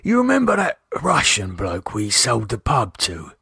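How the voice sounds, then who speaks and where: A man voicing an anxious working-class husband, speaking in nervous, halting, pleading bursts. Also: medium pitch, wildly swooping pitch, gravelly, sharp tone, husky, no echo, studio quality, exaggerated voice. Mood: worried.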